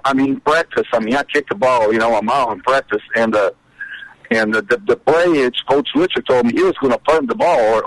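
An older man with a Brazilian accent, Portuguese his first language, speaks at a middle pitch. He talks in halting bursts, repeating words and restarting often. The sound is very dry and close, with no room around it. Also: a bit bright, no room sound, poor audio. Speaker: guest, former college kicker. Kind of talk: casual interview reminiscing.

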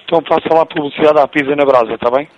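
Portuguese guy on the phone, talks super fast, brisk and friendly, muffled line. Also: on the high side, fairly even intonation. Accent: European Portuguese. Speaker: pizzeria owner taking a phone call. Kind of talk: phone conversation. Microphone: telephone.

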